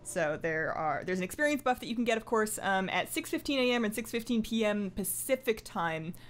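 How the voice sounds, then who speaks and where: A woman's voice: mid-range voice, expressive, brisk. A woman chatting casually to camera as a gaming vlogger at her desk, with playful asides and self-interruptions. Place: indoors at a home desk.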